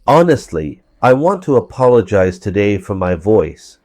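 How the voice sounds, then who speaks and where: A man with a hoarse voice from a cold, giving verse-by-verse scripture commentary and repeating points for emphasis. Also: fairly low pitch, sing-song, normal speed, a little mellow, dry recording. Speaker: religious commentator.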